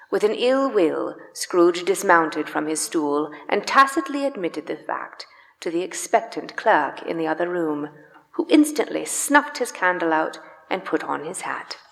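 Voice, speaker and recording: Low, gravelly woman narrating a story. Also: lively intonation, measured pace, a bit bright. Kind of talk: dramatic scripted storytelling for live radio play. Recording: live in echoey church hall.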